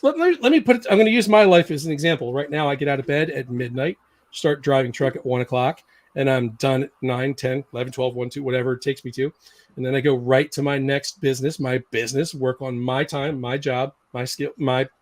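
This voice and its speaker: A middle-aged man hosting a casual live-stream chat, talking emphatically and laughing often. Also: mid-range voice. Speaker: host.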